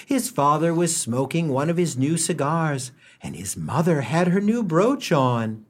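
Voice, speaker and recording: A man's voice: fairly high pitch, sing-song, measured pace. A man playing a wry humorist narrator in a comic radio play, telling the story with mock solemnity and dramatic emphasis. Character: wry humorist narrator gently mocking a boy's gifts.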